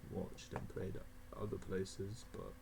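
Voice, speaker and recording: A young man of eighteen talks loosely through his rankings, his voice sitting a little low, with frequent chuckles and filler words. A faint hum of the room sits behind him, with the odd mouse click. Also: fairly even intonation, measured pace, gravelly. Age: young adult (18). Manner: rambling, laughing often, many fillers. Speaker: gaming video creator. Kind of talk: casual tier list commentary.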